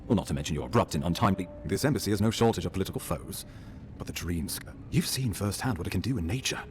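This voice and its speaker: Deep, formal imperial ambassador. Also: male voice, expressive, fast talker, slight background noise. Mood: troubled. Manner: formal, stately wording. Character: grave, dutiful male ambassador of a fantasy empire. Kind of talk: dramatic video game dialogue.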